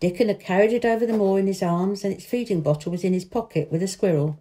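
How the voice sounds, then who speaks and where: A woman's voice: medium pitch, fast talker, warm tone, low-quality recording. A woman reading a children's novel aloud as a storybook narrator.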